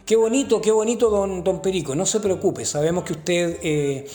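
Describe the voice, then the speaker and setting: A woman's voice: on the low side, normal speed, dark tone. A woman hosting a mock talk-show interview, mock-formal and full of hesitant fillers. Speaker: host.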